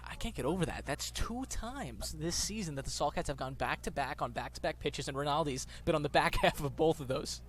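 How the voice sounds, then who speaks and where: Slightly high-voiced man, baseball color commentator. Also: lively intonation, a little fast, few pauses, slight background noise. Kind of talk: conversational live baseball commentary.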